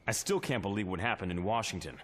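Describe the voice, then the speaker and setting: Earnest, low-voiced young hero. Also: male voice, fast talker, fairly bright tone. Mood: humble surprise. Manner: respectful, earnest. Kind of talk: motion comic voice acting.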